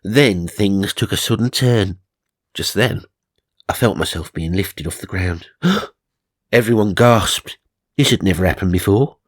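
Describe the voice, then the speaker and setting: A man's voice: low-pitched, animated, a little slow, no room sound. A man narrating supernatural magazine stories for comedy, mock-serious and dramatic, with wry asides. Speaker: narrator and host.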